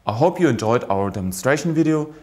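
A man in his thirties giving a scripted, formal, step-by-step instructional presentation of a product in a measured way. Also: mid-range voice, lively intonation, a little mellow. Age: 30s.

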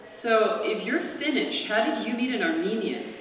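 A woman's voice: on the high side, expressive, moderate pace, a bit bright, far from the mic. A woman asking curious, probing questions as an interviewer. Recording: echoey gallery playback heard from afar.

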